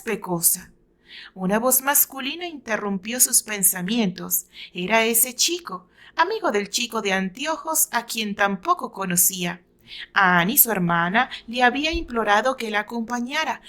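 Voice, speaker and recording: Higher-voiced Mexican woman telling a story. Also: fairly bright tone. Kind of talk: dramatised narration of a romantic story.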